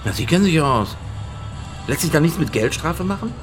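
An actor playing a short-tempered, bossy stadium manager in a radio crime drama, brusque and crude. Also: male voice, fairly high pitch, expressive, brisk, over music, lightly acted. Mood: grumbling.

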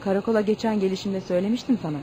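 A woman's voice: medium pitch, fairly even intonation, rapid-fire, mellow, close to an everyday voice. A woman playing a fierce, vengeful young village girl in a radio drama, speaking with defiant menace. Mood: accusing. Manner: defiant, threatening. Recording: noisy radio drama recording.

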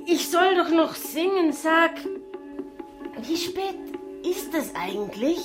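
A woman's voice: very high voice, big pitch swings, slow, over music, exaggerated voice. A woman playing a stoned, scatterbrained funeral singer who sounds flustered and dazed in a comic radio play.

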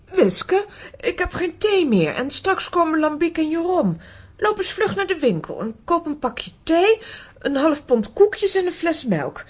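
A woman playing a brisk, bossy but caring aunt in a children's audio drama, giving instructions. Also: very high voice, big pitch swings, exaggerated voice.

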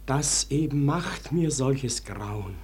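An actor playing a frightened, deferential manservant in a classic German radio verse drama, speaking hesitantly and anxiously, sometimes sinking toward a whisper. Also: male voice, medium pitch, animated, takes it slowly, a little mellow, slightly rough, exaggerated voice. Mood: fearful. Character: frightened, deferential manservant with a dread of uncanny intruders.

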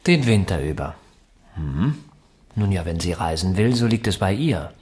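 An actor in a German radio drama playing a tense, bitter husband, clipped, formal and defensive. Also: male voice, low-pitched, lively intonation, moderate pace, a little mellow. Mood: cool, defensive. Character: tense, bitter husband in a wheelchair, coolly stubborn.

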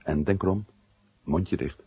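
A man's voice: sits low, measured pace, husky, dry recording, phone-quality sound, heavily acted. An actor playing a wry, confiding male journalist in a 1970 science-fiction radio drama. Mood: wry, fond. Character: adult male journalist, blunt, wry, worried about his brother.

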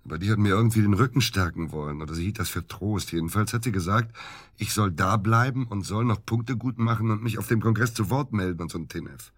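Radio drama actor as evasive male doctor under suspicion: deep, husky, hesitant, very dry recording. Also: no room sound, close to an everyday voice. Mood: dejected, defensive. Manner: halting, hesitant, defensive. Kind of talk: naturalistic German radio drama acting.

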